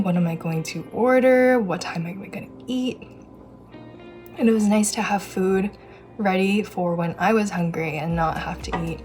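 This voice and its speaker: A woman's voice: lowish voice, darkish tone. A young woman narrating her day in an upbeat, chatty vlog, talking straight to her viewers. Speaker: vlogger. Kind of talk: casual day-in-the-life narration.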